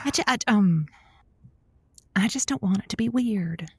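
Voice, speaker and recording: A woman's voice: mid-range voice, lively intonation, a little slow, a little mellow, husky, no echo, exaggerated voice. An actress playing a playful, easily flustered woman who hosts a radio call-in show, with theatrical banter in an audio comedy. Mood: awkward. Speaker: radio host. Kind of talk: audio comedy drama dialogue.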